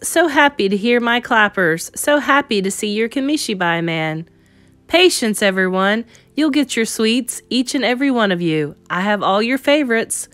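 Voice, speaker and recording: A woman's voice: a little high, a bit bright, dry recording. A woman reading a storybook aloud as a kindly, nostalgic old male storyteller who muses and exclaims to himself. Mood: fond, welcoming, then playful, welcoming. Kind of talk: storybook character voice in a read-aloud.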